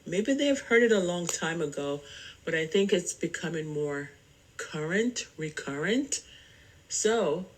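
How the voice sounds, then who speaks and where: A woman with a slightly low voice hosts a casual solo podcast. She talks at an unhurried, slow pace. Her manner is chatty and rambling, addressing listeners directly. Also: lively intonation, poor audio. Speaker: podcast host.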